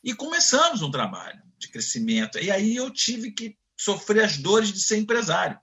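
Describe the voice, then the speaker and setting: A man's voice: high-pitched, normal speed, dull audio. A man in his fifties with a Carioca accent, telling his career story in an interview and acting out the voices in his anecdotes. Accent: Carioca Portuguese. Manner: animated, acts out voices in anecdotes. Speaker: guest.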